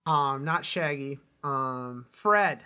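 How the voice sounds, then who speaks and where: A man hosting a casual film discussion, halting and often interrupting himself as he talks. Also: medium pitch, pushed and bright, smooth. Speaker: podcast host.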